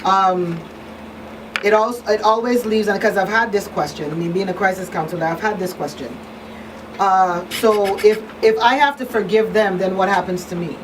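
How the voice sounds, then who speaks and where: A woman with a mid-pitched voice talks as the host of an earnest discussion. She feels her way haltingly through her points, repeating words and posing questions. She sounds away from the mic, with plain background noise. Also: far from the mic. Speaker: talk show host and crisis counselor.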